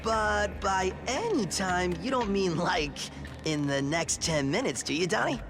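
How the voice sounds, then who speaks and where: A man's voice: high voice, animated, unhurried, vocal fry, over music, heavily acted. A man voicing a goofy, laid-back teenage mutant turtle in a video game, with playful dude slang. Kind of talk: video game cartoon voice acting.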